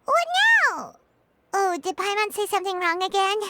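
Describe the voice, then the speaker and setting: A woman voicing a tiny, excitable, cheeky childlike fairy companion in a video game, with a squeaky voice and whiny, pleading manner. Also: normal speed, very noisy. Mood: chatty, awkward. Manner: speaks of self in third person, whiny pleading.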